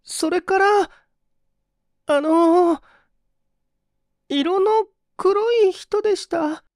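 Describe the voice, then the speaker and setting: A man voicing a frightened young schoolboy who answers timidly and haltingly, in a storybook reading. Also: extremely high pitch, dry recording, clean studio sound, pitched above the performer's own voice, exaggerated voice.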